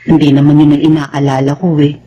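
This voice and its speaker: An actress playing a soft-hearted, grateful mother in a Tagalog radio drama. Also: sits low, level tone, a little fast, lo-fi sound, slight background noise, lightly acted. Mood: hesitant. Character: grateful, soft-hearted widowed mother remarried for her children.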